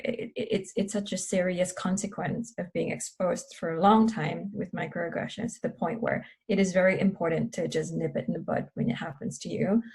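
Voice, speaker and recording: A woman speaks in a relaxed panel chat with a gravelly, husky voice that sits somewhat low. She talks thoughtfully, often pausing on fillers as she works through her points. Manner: thoughtful, pauses with many fillers. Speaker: researcher guest. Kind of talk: casual panel discussion.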